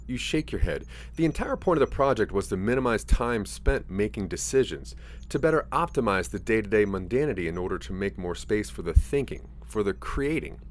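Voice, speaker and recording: A man's voice: lowish voice, slight background noise. A man narrating a scripted interactive audio drama in the second person.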